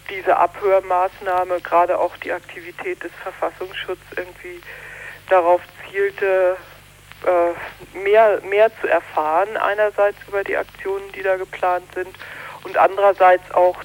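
A woman from northern Germany, a protest organizer, speaking earnestly in a radio news interview and often pausing on fillers and restarting. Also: mid-range voice, flat delivery, low-quality recording.

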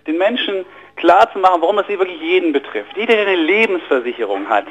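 Guy with a higher voice on a radio interview explaining finance stuff, earnest, keeps repeating words as he goes. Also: expressive, fast, fairly bright tone, dull audio. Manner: earnest, explanatory, often restarts and repeats words mid-sentence. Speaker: interview guest, finance journalist and author.

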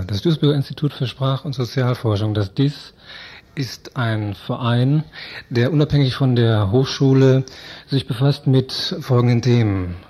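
A man's voice: medium pitch, mellow. A man giving explanatory answers as a researcher interviewed in a radio studio.

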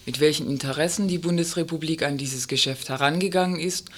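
A man presents a scripted report for a radio magazine in pronunciation. His voice sits fairly high for a male, and he reads the prepared text as a presenter would. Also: brisk, fairly bright tone.

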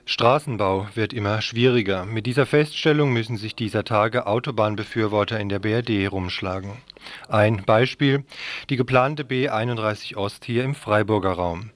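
A male news reader narrates a scripted report. His pitch is somewhat low. The recording is dry and free of room sound. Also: normal speed, dry recording.

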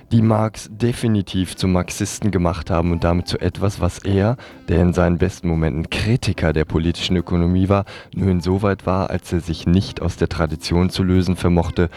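A man's voice: low voice, dark tone. A man presenting scripted reports on the radio.

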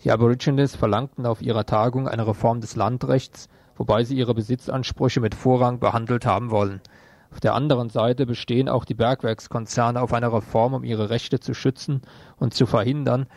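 A man's voice: mid-range voice, steady tone, brisk, creaky, darkish tone, no room sound. Man reading radio news, dry sound. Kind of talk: read news bulletin with brief informal asides.

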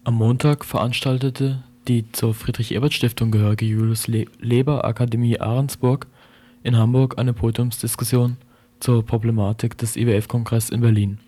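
A man's voice: medium pitch, level tone, moderate pace, mellow. A man reading a scripted radio news report in a sober, matter-of-fact manner.

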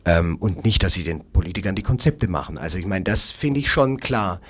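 A man speaking as a political guest in an unscripted discussion, haltingly searching for words and repeating phrases. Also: low voice, expressive, husky. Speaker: interview guest, Green local politician.

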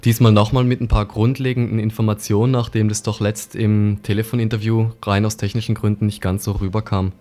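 A man's voice: fairly low pitch, flat delivery, a little fast, few pauses, dark tone. A man working as a radio interviewer, asking questions in an informal, familiar way.

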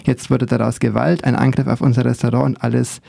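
A man with a gravelly, warm voice conducts an interview. He asks calm, measured questions. The sound is close and dry. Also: mid-range voice, no room sound.

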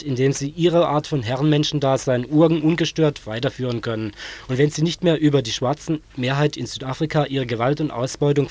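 A man delivers ironic political commentary as a radio presenter. His voice is on the high side and recorded very dry. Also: darkish tone, no room sound.

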